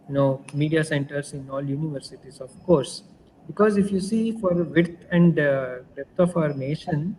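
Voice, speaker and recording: Fairly high-voiced man. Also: darkish tone, long reverb tail, slight background noise, poor audio. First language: Kannada. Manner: thoughtful, explanatory, searches for words. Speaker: panelist. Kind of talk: virtual panel discussion. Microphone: video call.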